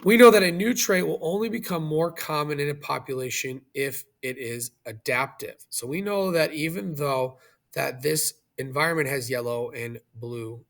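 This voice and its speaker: A man's voice: on the high side, normal speed, vocal fry, a bit bright. A man teaching in an explanatory video lesson, speaking instructionally and checking understanding with questions as he goes.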